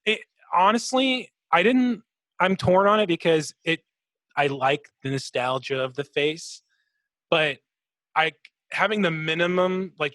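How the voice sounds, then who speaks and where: Male podcast co-host, slightly high pitch, halting and reflective, casual game talk, dry recording. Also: a bit bright, no room sound. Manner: halting, thinking aloud, repeats words. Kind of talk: casual gaming discussion.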